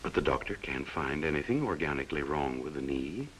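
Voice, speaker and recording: A man's voice: rumbling low, animated, a little fast, a little hiss or hum. A man narrating a 1940s training film in a folksy, dramatic storytelling way on an old film soundtrack.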